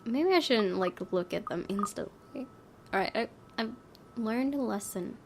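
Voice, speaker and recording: A woman's voice: animated, a little slow. A woman doing let's play narration, reading a story aloud expressively with casual, offhand asides. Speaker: gaming video host, reading a visual novel aloud. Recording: faint low rumbling background noise.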